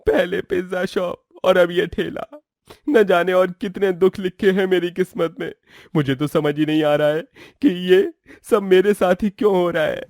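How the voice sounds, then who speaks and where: A man's voice: sits high, fairly even intonation, moderate pace, a little mellow, dry recording, studio quality. A man playing a worried, plaintive poor pizza seller and family man in a melodramatic moral story. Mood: despairing, pleading. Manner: plaintive, lamenting.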